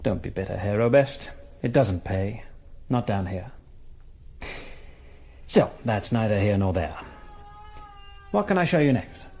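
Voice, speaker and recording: Callous, sardonic Nazi jailer. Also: male voice, fairly low pitch, lively intonation, a little slow, pauses often, warm tone. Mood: mocking nonchalance. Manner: casual, mock-courteous, sardonic. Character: callous, smirking Nazi jailer, casually cruel. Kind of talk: broadcast radio drama acting.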